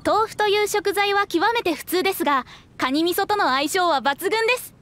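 An actress playing a courteous young waitress explaining things politely in an anime game. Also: female voice, extremely high pitch, a little fast, a bit bright, slight background noise. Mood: polite, proud.

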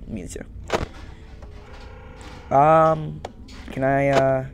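A man's voice: mid-range voice, little pitch movement, very slow, muffled recording, background noise. A young man giving casual gameplay commentary, rambling and muttering as he talks to the game's characters. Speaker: gaming streamer. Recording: loud game music behind voice.

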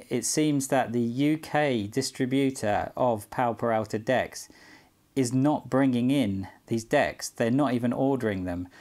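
A man's voice: mid-range voice, darkish tone. A British man in his forties talking casually to camera as he shows a product in a room at home. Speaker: skateboard collector vlogger. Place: small indoor room at home.

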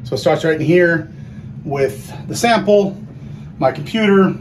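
A man's voice: fairly high pitch, expressive, measured pace. A plain-spoken man explaining things informally as he gives a casual walking tour of a woodworking mill. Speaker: mill worker giving a tour. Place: large woodworking mill and lumber warehouse. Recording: noisy workshop with machinery hum.

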